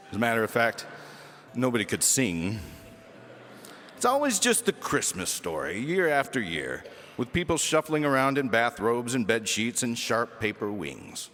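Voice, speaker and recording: Animated male storyteller in a reverberant space. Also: mid-range voice. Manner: theatrical, emphatic delivery. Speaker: audiobook reader. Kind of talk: animated storytelling narration of a children's book.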